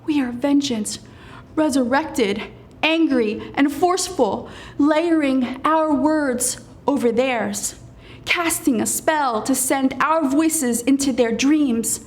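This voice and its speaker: A woman performing spoken-word poetry as a poet, in a solemn, deliberate manner with weighted pauses and dramatic delivery. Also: sits high.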